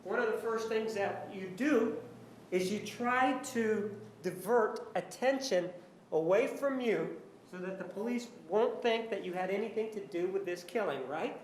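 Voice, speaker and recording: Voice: medium pitch, a little slow. Pointed courtroom lawyer in an echoey room. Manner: pointed leading questions ending in short tags. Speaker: lawyer questioning witnesses. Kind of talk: courtroom cross-examination. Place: large indoor courtroom. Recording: echoey courtroom, faint background noise.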